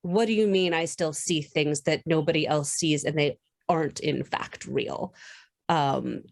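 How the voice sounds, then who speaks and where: A woman playing a tabletop roleplaying game, explaining her character casually in a halting, thoughtful way. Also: sits low, creaky. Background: music.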